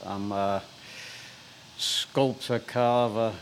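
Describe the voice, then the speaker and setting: Older Liverpool man, low-pitched, telling his story with halting fillers; light hiss behind. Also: steady tone. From England. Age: 60s. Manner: hesitant, with many fillers and restarts. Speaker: stone carver. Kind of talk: relaxed interview storytelling.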